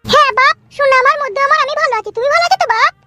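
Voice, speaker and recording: A woman's voice: very high voice, racing, bright, forceful tone, no room sound, full character voice. A woman voicing a middle-aged, scheming village mother-in-law in a comedy cartoon, fawning and folksy, with a Bengali accent. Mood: fawning, probing. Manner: fawning, folksy, repetitive endearments. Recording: music behind the voice.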